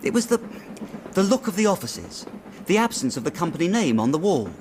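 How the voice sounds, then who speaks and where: Eager polite young clerk, period radio drama, pitched a bit high, bit of background noise. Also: male voice, sing-song, fairly quick. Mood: uneasy, earnest. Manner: eager, deferential, storytelling. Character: young male clerk, earnest, uneasy, recounting his puzzle.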